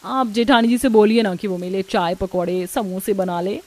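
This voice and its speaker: A woman's voice: medium pitch, steady tone, normal speed, a little mellow, natural voice. A woman voicing a spoiled, entitled young rich bride in a scripted cartoon, with a bossy manner.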